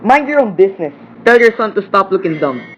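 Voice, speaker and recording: A woman's voice: mid-range voice, big pitch swings, brisk, lightly acted. A woman playing a stern, defensive parent in an amateur radio play, with a blunt, challenging delivery. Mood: angry. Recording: dull lo-fi audio with clear noise.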